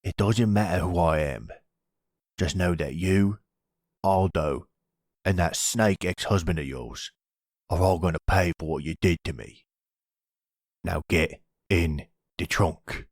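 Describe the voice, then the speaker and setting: Guy doing a cold scary mobster, low husky voice, short threatening lines, audio drama. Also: takes it slowly, long pauses, no room sound, clean studio sound, exaggerated voice. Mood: cold menace. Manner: curt, threatening. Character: menacing vengeful mafia villain, cold and threatening.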